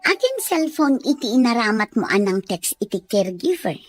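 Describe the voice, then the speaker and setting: A woman's voice: on the high side, sing-song, normal speed, faint music behind. A woman playing a sly, gloating sweetheart who plots for money in an Ilocano audio drama. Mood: gloating, conspiratorial. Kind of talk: Ilocano radio drama acting.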